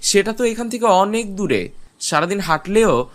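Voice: medium pitch, expressive, normal speed, natural voice. A performer playing a polite, earnest and eager village boy in a cartoon. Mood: polite, helpful. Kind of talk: cartoon voice acting.

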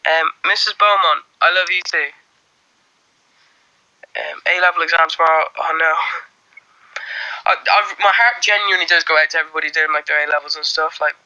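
A man's voice: fairly high pitch, bright, forceful tone, highs cut off. A young man from Ireland whose Irish accent has mostly faded, chatting casually on a phone livestream in a small room, reading out viewer comments and rambling with lots of fillers and restarts. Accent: faint Irish, mostly worn off. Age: young adult. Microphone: phone held close.

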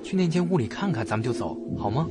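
A man playing a bold, teasing, excitable young thrill-seeker in a horror audio drama. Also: mid-range voice, quick pace, over loud music, close to an everyday voice. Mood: teasing, coaxing.

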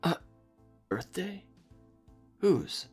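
A man's voice: high-pitched, very animated, drawn-out words, heavily acted. A man voicing an awkward, well-meaning father with a hesitant manner in a comic fan dub. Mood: puzzled, sheepish. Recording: roomy echo under music.

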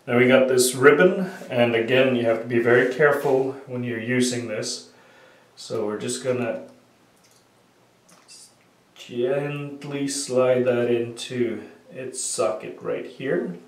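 A man with a sniffly cold explains a repair step by step, with many "uh" fillers as he works with his hands at a workbench. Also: medium pitch. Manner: unhurried, many "uh" fillers, talking while working with hands. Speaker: hobbyist repair tutorial maker. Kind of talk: casual step-by-step repair tutorial. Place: small room at a workbench, indoors. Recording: roomy, distant camera audio with faint noise.

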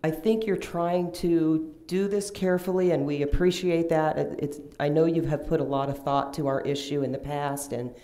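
A woman in her fifties, a union lobbyist, giving confident but halting testimony with many pauses at a county meeting through a table microphone. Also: sits low, mellow. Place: county commission hearing room, indoors. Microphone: table microphone, seated.

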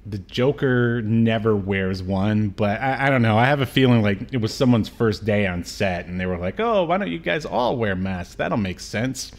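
A man's voice: fairly low pitch, animated, moderate pace, hardly any pauses. A man in his late thirties co-hosting a casual, joking film discussion, often stopping and restarting his sentences. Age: late 30s. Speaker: podcast co-host. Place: home room on a video call.

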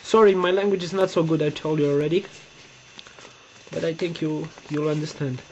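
A young man with a Romanian accent and a fairly high voice talks through a paper-folding demo, pausing and repeating himself while he searches for English words. The sound is dull, lo-fi webcam audio with a faint hiss behind it. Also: fairly even intonation. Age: young adult. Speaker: tutorial maker. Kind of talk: casual step-by-step demonstration. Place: bedroom, seated on a bed indoors. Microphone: webcam close-up.

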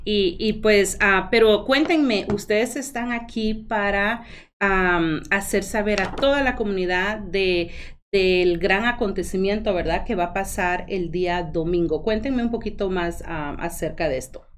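A woman hosting a community morning show, chatting casually with her guests and often pausing on fillers. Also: mid-range voice. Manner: warm, chatty, frequent fillers like "ah" and "am". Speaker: host. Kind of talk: casual chat show interview.

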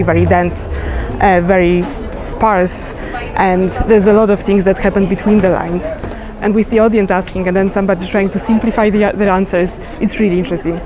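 A young woman who speaks English as a second language, giving thoughtful, slightly hesitant comments as an audience member in a festival bookshop tent. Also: medium pitch. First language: not English. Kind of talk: casual vox pop comments. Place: indoor bookshop tent with people. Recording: crowd murmur behind.

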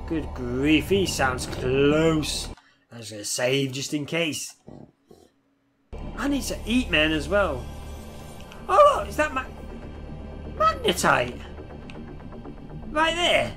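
A man's voice: fairly high pitch, huge pitch swings, slow pace, long pauses, music in the background. A man in his thirties with a head cold and a nasal voice, chatting casually over a game and swearing at the creatures on screen. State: head cold, stuffy nose. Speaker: gameplay streamer. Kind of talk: casual gameplay commentary. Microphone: headset.

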